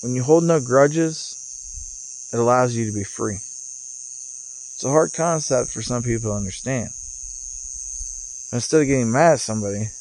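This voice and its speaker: Guy doing a loose spiritual ramble outdoors, musing with pauses, mid pitch, bugs chirping behind him. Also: a little mellow, a little hiss or hum, rough recording. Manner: casual, musing, phrases broken by pauses. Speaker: vlogger and spiritual guide. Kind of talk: rambling off-the-cuff spiritual monologue. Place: outdoors, sitting in a rocking chair. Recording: outdoor recording with chirping insects. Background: cicadas or crickets.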